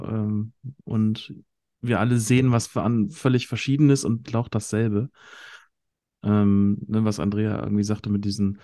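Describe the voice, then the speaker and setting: A man in a casual panel discussion, talking haltingly and repeating words as he searches for them. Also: on the low side, warm tone, no echo, studio quality. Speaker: podcast panelist.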